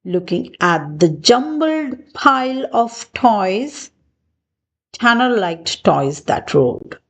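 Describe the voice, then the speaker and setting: Female children's storybook narrator, extremely unhurried delivery, highly animated intonation, expressive read-aloud for little ones. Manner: slow, deliberate, expressive phrasing. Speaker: children's book reader.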